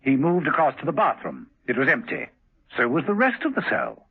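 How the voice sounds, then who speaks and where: A man's voice: medium pitch, very animated, a little fast, creaky, breathy edge, heavily acted. A man narrating a spy thriller dramatically in scripted radio drama, on a thin, phone-like old recording. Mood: dramatic, suspenseful.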